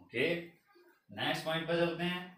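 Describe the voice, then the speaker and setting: A man's voice: fairly high pitch, animated, normal speed, a bit bright. A young male physics teacher at a whiteboard, asking his class questions and answering them himself in a mix of Hindi and English. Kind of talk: whiteboard lecture. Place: small room at a whiteboard. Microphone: camera a few meters away. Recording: very echoey room, voice from far off.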